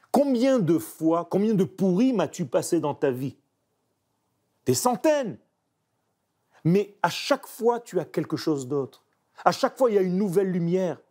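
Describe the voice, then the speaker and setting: A man, a painter speaking French as a second language, reflecting emphatically in an interview. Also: high voice. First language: not French. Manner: animated, emphatic, searching pauses. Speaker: painter guest.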